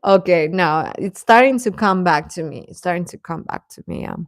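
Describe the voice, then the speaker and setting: A woman's voice: fairly low pitch, expressive, normal speed, no room sound, studio quality. A woman hosting a livestream, chatting casually and reacting to viewers, often repeating her phrases. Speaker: livestream host.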